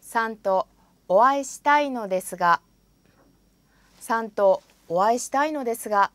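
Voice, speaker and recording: A woman with a fairly high voice models phrases for a language lesson. She says each line with careful pronunciation and then repeats it. The recording is dry and close. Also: expressive, normal speed, a bit bright, no echo. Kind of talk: slow, repeated example phrases. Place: studio.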